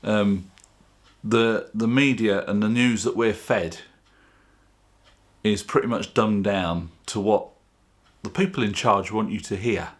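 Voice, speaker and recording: A man's voice: on the low side, slow pace, pauses often. A man presenting his own video in a chatty, informal, plain-spoken way, explaining things casually to camera. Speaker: video channel host.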